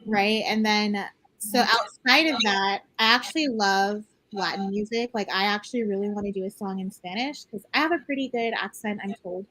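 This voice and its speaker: A woman's voice: mid-range voice, moderate pace, sharp tone, low-quality recording. A young woman co-hosting a casual chat, talking enthusiastically with lots of filler words. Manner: chatty, enthusiastic, many "like"s. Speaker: co-host.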